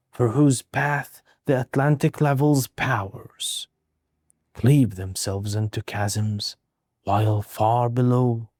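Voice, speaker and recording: Male poetry reader, medium pitch, solemn and measured delivery with deliberate pauses, warm relaxed tone, dry recording. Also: dry recording. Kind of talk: slow dramatic poetry recitation.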